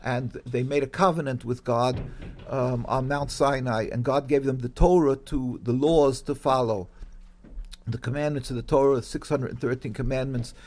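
Measured man explaining religion. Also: medium pitch, fairly even intonation, darkish tone, a little hiss or hum. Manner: measured, with filler ums. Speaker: religious guest explaining his faith. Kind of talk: explanatory interview talk.

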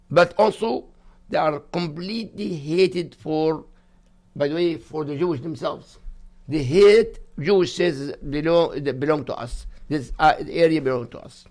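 Older Gaza-born man, halting broken English. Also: a little high. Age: 60s. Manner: halting non-native English with broken grammar. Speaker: guest. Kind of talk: personal testimony in an interview.

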